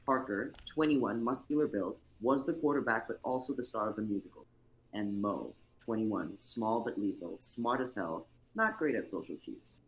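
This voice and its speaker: A man's voice: lowish voice, moderate pace, a little hiss or hum, low-quality recording. A young man narrating a screenplay as host of an amateur livestreamed reading. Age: young adult.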